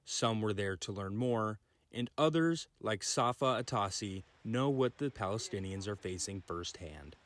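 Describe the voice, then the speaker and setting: A young man narrating a news report as a student reporter, in a steady reporting cadence. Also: fairly low pitch, unhurried, few pauses, no room sound. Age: young adult.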